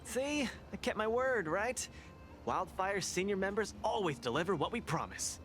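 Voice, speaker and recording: An actor playing a cocky, good-hearted young fighter in an anime-style video game, breezy, boastful and eager. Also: male voice, high-pitched, lively intonation, moderate pace, a bit bright, slight background noise. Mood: proud, cheerful.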